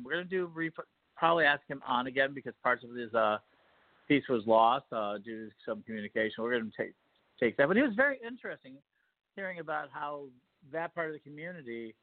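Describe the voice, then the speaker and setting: An older man hosts a casual podcast, rambling and hesitating with plenty of fillers. His voice sits at a middle pitch and comes through a thin, phone-like line. The sound is close and dry. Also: dry recording. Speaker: podcast host.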